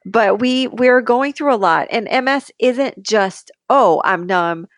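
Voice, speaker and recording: A woman's voice: a little high, slow, dry recording, clean studio sound. A woman co-hosting a casual podcast, talking in a hesitant, searching way. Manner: hesitant, full of ums and restarts. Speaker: podcast co-host.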